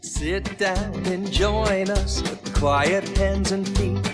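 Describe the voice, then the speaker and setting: A woman's voice: mid-range voice, very slow. A woman singing a children's song with country guitar accompaniment. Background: country music with guitar.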